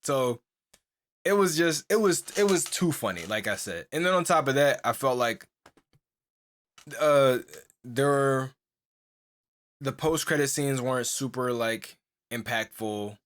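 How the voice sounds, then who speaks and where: A man's voice: medium pitch, expressive, measured pace, long pauses, a bit bright. Rambling young man on a podcast. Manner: rambling, full of "like" and restarts, laughs often. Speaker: podcast co-host. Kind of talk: casual chat.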